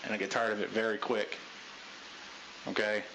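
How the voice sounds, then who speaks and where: A man leading a group debrief in a casual pep-talk style, talking loudly with a lot of inflection. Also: level tone, moderate pace, a little hiss or hum, poor audio. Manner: loud, high voice inflection. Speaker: unit leader giving a debrief.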